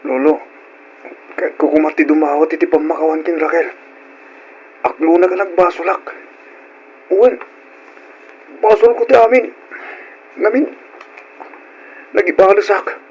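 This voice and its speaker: A man playing a remorseful young grandson in a radio drama, contrite, halting and pleading. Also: a little high, steady tone, a little mellow, dull audio, slight background noise, natural voice. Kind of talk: Ilocano radio drama acting.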